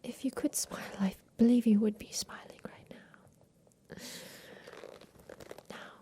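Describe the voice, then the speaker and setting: Woman playing a slow whispering eccentric inventor. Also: fairly high pitch, fairly even intonation. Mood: soothing, doting. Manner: soft, deliberate, praising, old-fashioned formal phrasing. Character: doting eccentric mansion inventor, plotting against her captor. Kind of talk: whispered ASMR roleplay.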